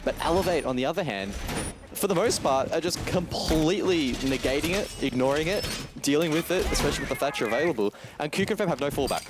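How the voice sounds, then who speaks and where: A man's voice: a little high, expressive, fast talker. A man giving live commentary and analysis on a shooter match in an analytical, emphatic way. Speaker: esports commentator. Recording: clear noise with game gunfire behind. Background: game gunfire and clicks.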